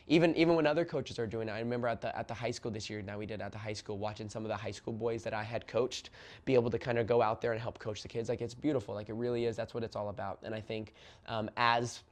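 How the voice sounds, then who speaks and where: A young man from Oregon who coaches youth soccer, telling his story in a casual, earnest interview with plenty of fillers and restarts. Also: mid-range voice. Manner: earnest, fast talker, many fillers and restarts.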